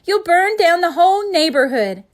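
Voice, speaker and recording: A woman's voice: very high-pitched, sing-song, moderate pace, pitched above the performer's own voice. A woman voicing a bossy, exasperated mother dragon in a storybook, chiding with emphasis. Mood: alarmed.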